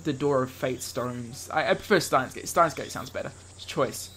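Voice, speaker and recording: A man's voice: medium pitch, moderate pace, a bit bright, slight background noise, natural voice. A man voicing a theatrical, paranoid young self-styled mad scientist from a visual novel, pompous and melodramatic. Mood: conspiratorial.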